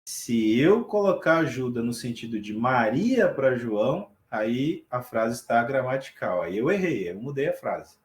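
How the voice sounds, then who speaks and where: Man, teacher on a video call, relaxed and checks in with students, echoey room. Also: mid-range voice, unhurried, hardly any pauses. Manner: relaxed explaining with frequent check-ins to students. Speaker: sign language teacher. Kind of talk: online class lecture.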